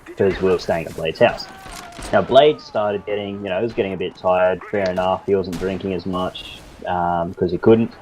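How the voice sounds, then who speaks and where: A man telling a loose, halting, sweary anecdote to friends in a casual voice chat. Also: low-pitched, steady tone, darkish tone. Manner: loose, halting, repeats himself. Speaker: storyteller in a group voice chat. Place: online voice chat. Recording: noisy voice-chat mic with clicks.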